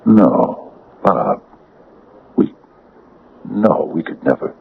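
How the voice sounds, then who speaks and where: An actor playing a reluctant, uneasy small-town mayor in a 1980s radio drama, speaking haltingly in a gravelly, husky voice. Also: male voice, low-pitched, animated, slight background noise, full character voice. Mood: shocked, resisting. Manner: hesitant, halting. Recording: dull lo-fi radio recording.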